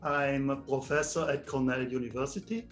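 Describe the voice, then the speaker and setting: A German-born man with a German accent, a professor in his sixties, answering interview questions slowly and deliberately in an office. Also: mid-range voice, soft background music. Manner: slow, deliberate and measured. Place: indoor office with shelves and pictures.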